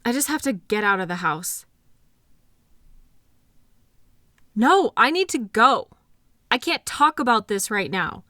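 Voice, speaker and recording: A woman acting a hurt, anxious girlfriend in an intimate audio drama, emotional, accusatory and halting as she argues with her partner. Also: a little high, animated, bright, forceful tone, no room sound, clean studio sound. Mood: upset, withdrawing.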